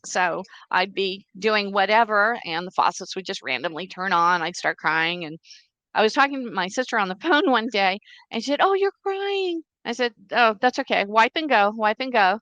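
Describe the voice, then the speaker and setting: A woman's voice: mid-range voice, normal speed, dry recording, muffled recording. A middle-aged woman whose first language is Czech, steadily telling her story as a podcast guest. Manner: earnest, steady storytelling with quoted voices. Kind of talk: interview storytelling.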